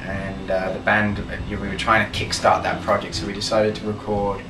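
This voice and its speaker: A young man from Birmingham talks casually in an interview, steady and measured with many fillers, as band leader. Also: lowish voice, background noise. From Birmingham, England. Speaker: band leader, guitarist and singer. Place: band label office. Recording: roomy office, distant mic, music behind.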